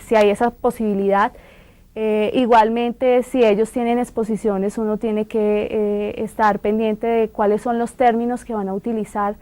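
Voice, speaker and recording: A woman's voice: a little high, dark tone, no echo. A sign language interpreter, a woman, explaining her job in an interview with frequent fillers. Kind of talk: interview answer.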